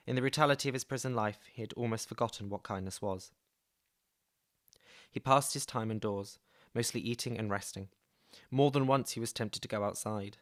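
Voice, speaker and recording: Male reader, slightly low pitch, calm measured narration. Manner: calm even storybook reading. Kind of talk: reading a novel aloud.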